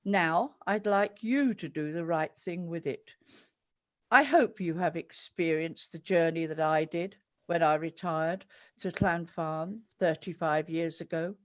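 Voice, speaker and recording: A woman playing an old retired actress voicing her letter in an audio play. She speaks very slowly, in measured, eloquent phrases, fond and reflective throughout. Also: fairly low pitch, lightly acted. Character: elderly great-aunt, retired actress, affectionate and reflective.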